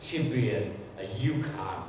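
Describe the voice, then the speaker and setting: A man's voice: on the low side, lively intonation, measured pace. Older man rambling in an echoey hall. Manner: rambling, with frequent restarts and repeats. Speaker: speaker at a memorial tribute. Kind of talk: informal spoken remembrance. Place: indoor gathering hall. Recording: echoey hall from far off, with clear background noise.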